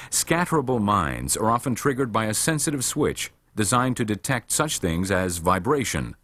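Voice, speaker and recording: Low-voiced male training film narrator. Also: a bit bright, husky, dry recording. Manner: measured, formal, matter-of-fact. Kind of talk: scripted instructional narration.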